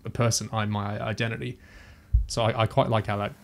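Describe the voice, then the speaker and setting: A man's voice: fairly low pitch, level tone, a little fast, gravelly. A man chatting casually and thoughtfully as a panelist on a review podcast, feeling his way through his points.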